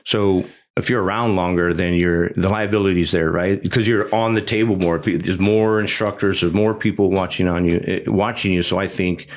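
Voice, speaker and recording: Middle-aged veteran guest with a very low voice, talks candidly with lots of restarts. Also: male voice, steady tone, normal speed, few pauses. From United States. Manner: candid storyteller, frequent restarts and asides. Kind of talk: casual conversational interview.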